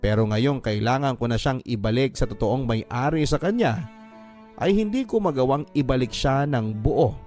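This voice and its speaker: A man's voice: mid-range voice, animated, normal speed, darkish tone. A man narrating a first-person story in a sentimental, dramatic radio drama style. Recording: music bed behind the voice. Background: music.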